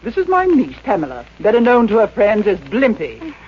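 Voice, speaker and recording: An actress playing a haughty, domineering older society matron in a 1950s radio comedy, blunt, snobbish and imperious. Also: female voice, on the high side, huge pitch swings, moderate pace, a little hiss or hum. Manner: imperious, snobbish, clipped commands. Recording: muffled old radio recording.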